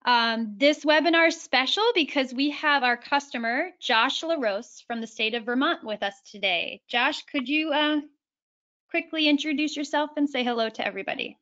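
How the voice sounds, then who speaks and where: A woman's voice: high voice, normal speed, bright, forceful tone, lo-fi sound. A woman hosting and moderating a webinar, introducing the speakers in a friendly, scripted way.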